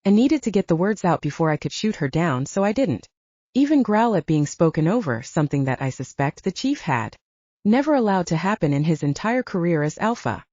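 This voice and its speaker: Mellow, animated woman narrating fiction. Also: on the low side, brisk, dry recording, lo-fi sound. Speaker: audiobook narrator. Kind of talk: scripted first-person fiction narration.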